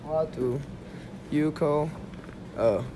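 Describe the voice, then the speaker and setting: A man playing an extremely slow, placid sloth clerk in an amateur radio play, one word at a time with long gaps. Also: fairly high pitch. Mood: placid, unhurried. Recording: noisy amateur recording.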